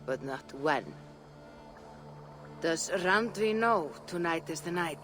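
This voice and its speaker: A caring Norse seeress from a video game. She is soft-spoken and wistful, taking her words slowly. Music plays clearly behind her. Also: fairly low pitch, expressive. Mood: concerned. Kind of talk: dramatic video game cutscene acting.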